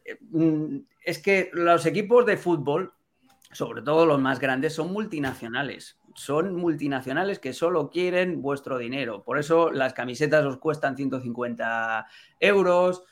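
Opinionated man from Catalonia, higher voice. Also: a little slow. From Catalonia, Spain. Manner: opinionated, animated, many fillers. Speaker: football TV presenter, guest. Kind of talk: live podcast chat.

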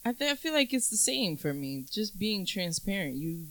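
A woman's voice: mid-range voice, big pitch swings, moderate pace, fairly bright tone. A woman in her twenties on a casual podcast, talking in a reflective, earnest way and drawing out her phrases. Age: 20s. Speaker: podcast co-host. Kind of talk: casual chat.

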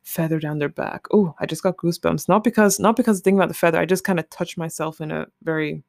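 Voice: mid-range voice, steady tone, fast, vocal fry, no room sound, clean studio sound. A host chatting casually and candidly alone, rambling informally with some swearing. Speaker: solo podcast host. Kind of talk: casual rambling chat, commenting on a list.

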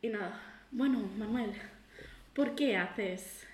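Higher-voiced woman in her twenties, echoey room. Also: takes it slowly, slight background noise. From Girona, Catalonia, Spain. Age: 20s. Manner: laughs easily, dry teasing. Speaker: podcast co-host. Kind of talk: casual chat.

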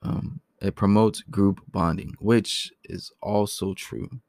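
A man hosting a casual solo talk, his voice raspy, often clearing his throat and speaking loosely. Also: fairly low pitch, steady tone, takes it slowly, darkish tone, dry recording, clean studio sound. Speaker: podcast host.

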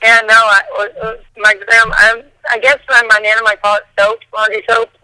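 A woman singer chatting casually as a guest, with a hoarse voice, who mumbles and restarts her sentences. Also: a little high, little pitch movement, fairly quick, sharp tone, no room sound, rough recording. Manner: mumbles, talks in loose restarts. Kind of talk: casual interview chat.

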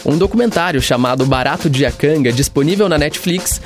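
A man narrating a documentary story in scripted voice-over, relaxed with casual asides. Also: a little high, a little fast, soft background music.

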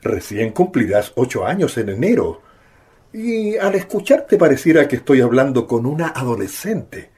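Tender, expressive radio-drama father. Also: medium pitch, moderate pace, breathy edge. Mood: concerned, tender. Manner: affectionate, coaxing, emotional. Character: tender, devoted father of a young girl.

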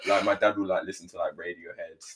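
A man's voice: deep voice, flat delivery, fast talker, fairly bright tone. A young man, co-hosting a podcast in loose, rambling casual chat full of fillers. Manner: laid-back, rambling, lots of "like" and "you know what I'm saying". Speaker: podcast co-host.

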